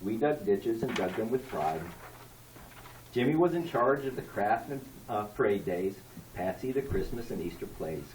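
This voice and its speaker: A man reading a rhyming poem aloud at a party like an expressive amateur storyteller. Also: on the low side, normal speed. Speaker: family member reading a tribute poem. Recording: echoey room, distant mic, background noise.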